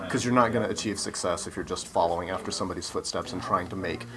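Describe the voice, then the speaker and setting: A man's voice: deep voice, quick pace. A man giving earnest, explanatory answers as a guest in a casual interview. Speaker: interview guest, video game developer. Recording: crowd chatter around the voice. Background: crowd chatter.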